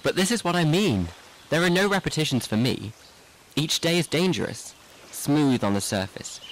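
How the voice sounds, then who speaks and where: A man's voice: fairly high pitch, animated, normal speed, slight background noise, natural voice. An actor as a tipsy, intense middle-aged man. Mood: brooding. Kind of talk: literary radio drama acting.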